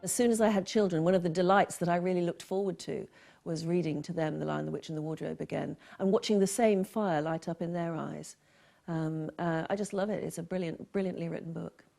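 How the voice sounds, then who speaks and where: Gravelly, mellow middle-aged woman. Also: lowish voice, fairly even intonation, brisk. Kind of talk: personal recollection.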